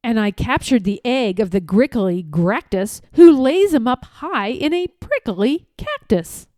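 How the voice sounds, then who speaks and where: A woman reading a rhyming children's storybook aloud in an expressive, playful way, with deliberate and emphatic phrasing. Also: fairly high pitch, dry recording, studio quality.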